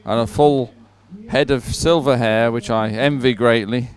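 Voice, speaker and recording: A man's voice: mid-range voice, steady tone, measured pace. A man commentating live on a sporting event and reading out results in a chatty, ad-libbed way.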